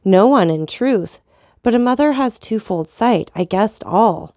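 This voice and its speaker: A noblewoman begging for her son's life, as a woman narrator voices her, pleading and anguished in a slightly higher, fuller voice than the narration. Heard close and dry. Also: lively intonation, a little slow, warm tone, no echo. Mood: desperate.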